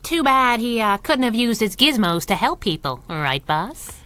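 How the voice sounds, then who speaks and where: A woman's voice: fairly high pitch, big pitch swings, measured pace, pushed and bright, heavily acted. A woman playing a plucky, wisecracking sidekick with a snappy, teasing delivery in a 1930s-style radio serial. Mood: wry, teasing. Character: plucky, sassy female sidekick, loyal and wisecracking.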